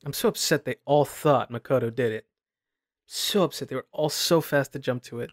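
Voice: mid-range voice, moderate pace, no echo. A gamer giving casual, self-deprecating playthrough commentary and reading on-screen game text aloud. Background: loud game music.

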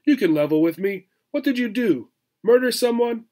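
Storybook squirrel male reader putting it on: wary streetwise guy, blunt and suspicious, voice pushed high. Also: lively intonation, moderate pace, darkish tone. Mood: skeptical probing.

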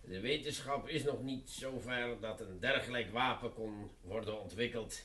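An actor playing a dismissive, condescending general in an old radio play. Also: male voice, medium pitch, sharp tone, distant mic, natural voice. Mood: scoffing. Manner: slow and condescending. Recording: roomy and off-mic.

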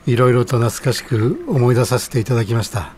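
A man's voice: medium pitch, fairly quick, darkish tone, slightly rough, lightly acted. An actor playing a polite, genial middle-aged ramen stall owner and father in an audio drama, speaking humbly and courteously. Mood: humble, grateful. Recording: audible background noise.

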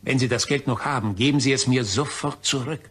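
Man playing husky, stern, self-righteous office colleague. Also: mid-range voice, fairly bright tone. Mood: stern, insistent. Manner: formal, deliberate, insistent. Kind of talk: crime radio play acting.

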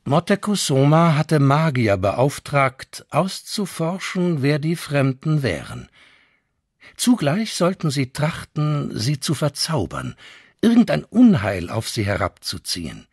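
Male reader for historical accounts, unhurried, widely varied intonation, dramatic. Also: on the high side, huge pitch swings, breathy edge, no room sound, clean studio sound. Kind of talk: dramatic scripted reading of a chronicle.

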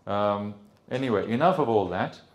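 A man in his fifties with a British accent, giving a conference lecture into a handheld mic, relaxed and hesitant with many ums and restarts. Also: fairly low pitch, normal speed. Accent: British English. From Sri Lanka. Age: 50s. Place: conference room with projector screen. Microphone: handheld microphone.

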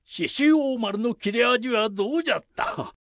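An actor playing a proud, commanding samurai lord in a radio drama, speaking in emphatic archaic lordly style. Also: male voice, extremely high pitch, expressive, moderate pace, fairly bright tone, no echo, heavily acted. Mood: satisfied, magnanimous.

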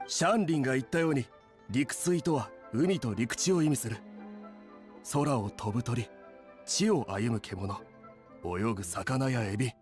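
A man's voice: medium pitch, unhurried, faint music behind, lightly acted. A man voicing a proud, confident inn chef in an anime game, earnest and assertive.

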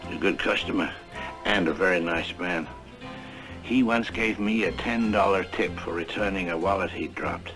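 Actor as deep-voiced humble newspaper seller. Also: male voice, normal speed, close to an everyday voice, rough recording. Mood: earnest, admiring. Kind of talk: 1960s television drama acting. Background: score music.

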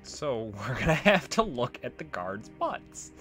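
Casual man commentating with lively pitch. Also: unhurried, faint music behind. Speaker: gaming video host. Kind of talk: casual let's play commentary.

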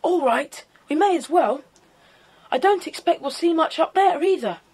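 A woman's voice: very high voice, lively intonation, moderate pace, darkish tone. A woman playing a keen, sensible English schoolboy leader in a children's audio drama, with a British accent. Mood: brisk, confident. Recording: faint noisy 1990s cassette audio drama.

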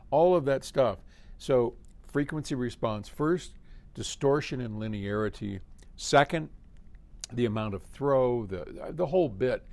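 A man's voice: mid-range voice, darkish tone. Older man, casual explainer. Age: 70s. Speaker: company head hosting a question-and-answer video. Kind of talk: casual explanatory talk to camera. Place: large indoor office workspace with hard floor.

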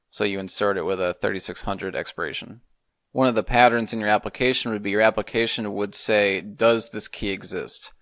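A man's voice: lowish voice, a bit bright, no room sound, clean studio sound. A man, explaining things methodically in a semi-casual screencast tutorial. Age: 30s. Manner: methodical, step-by-step instruction. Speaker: programming tutorial host.

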